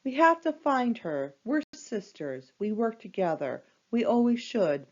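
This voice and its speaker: An earnest teenage girl, voiced by a woman narrator in a children's storybook. She is independent and insistent, with a lively, changeable melody to her speech. The sound is a little dull. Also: fairly high pitch, a little slow. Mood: worried. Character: earnest, independent-minded younger teenage sister.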